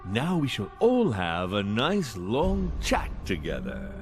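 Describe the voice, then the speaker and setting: A haughty dwarf lord, swinging intonation. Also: male voice, on the low side, wildly swooping pitch, full character voice. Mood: sly, menacing. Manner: imperious, formal, deliberate. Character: haughty dwarf lord, shrewd castle baron. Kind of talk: video game cutscene acting. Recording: game music behind the voice. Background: game music.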